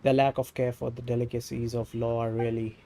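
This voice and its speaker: A man's voice: mid-range voice, little pitch movement, a little fast, a little hiss or hum. A man with an Indian accent livestreaming a video game in casual commentary, reading dialogue and switching between languages. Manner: switches between Hindi and English. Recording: game music over the voice. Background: loud game music.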